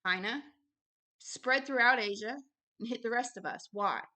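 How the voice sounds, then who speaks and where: A woman with a fairly high voice delivers unscripted religious teaching. She is emphatic and didactic, breaking her thoughts into short phrases. Key words come back again and again as she drives each point home. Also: moderate pace, pushed and bright. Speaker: religious teacher and show host. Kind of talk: extemporaneous Bible teaching and book commentary.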